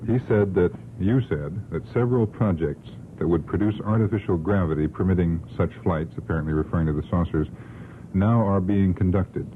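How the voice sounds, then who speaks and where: Male radio interviewer, very low voice, careful phrasing, faintly noisy old tape. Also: brisk, phone-quality sound. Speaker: panelist questioning the guest.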